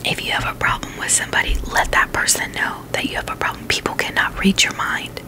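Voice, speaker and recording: A woman whispering in a breathy, unvoiced voice, chatting casually and haltingly in a gentle ASMR style. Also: rough recording. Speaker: ASMR video host.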